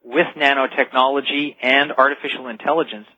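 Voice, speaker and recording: A man's voice: mid-range voice, fairly even intonation, brisk, dry recording. A man speaking as an expert guest in a radio interview, explaining earnestly and emphatically, repeating key phrases. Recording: dull, dry lo-fi radio audio.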